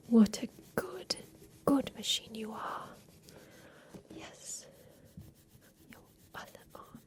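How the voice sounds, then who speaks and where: A woman playing a doting, eccentric mansion inventor in a soft, deliberate ASMR roleplay with formal, old-fashioned phrasing. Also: a little high. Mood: soothing, doting. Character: doting eccentric mansion inventor, plotting against her captor.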